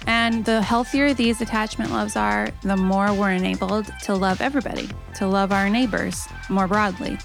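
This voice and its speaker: A woman's voice: medium pitch, fairly even intonation, normal speed, gravelly, few pauses, faint music behind. A woman host introducing a guest in a friendly, explanatory scripted segment. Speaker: podcast host.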